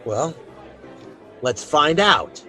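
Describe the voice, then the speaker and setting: Plainspoken Western marshal, lively pitch. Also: male voice, drawn-out words, soft background music, some chatter behind, full character voice. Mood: stern, measured. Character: male frontier marshal, plainspoken, authoritative, fair-minded. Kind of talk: old-time radio western acting.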